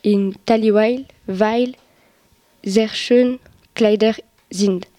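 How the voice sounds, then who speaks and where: A young woman speaks very slowly and trips over her words as she asks questions in class. Her voice sits in a middle range. The sound is dry. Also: mid-range voice, steady tone, mellow, no room sound. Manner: very slow, stumbling over words. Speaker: student asking questions.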